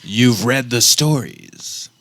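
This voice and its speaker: Guy doing big movie trailer voice for a radio spoof, slow and dramatic, dry close sound. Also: medium pitch, animated, a bit bright, no echo, exaggerated voice. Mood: portentous. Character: grand movie trailer announcer, male, hyping a film. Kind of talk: parody film trailer narration.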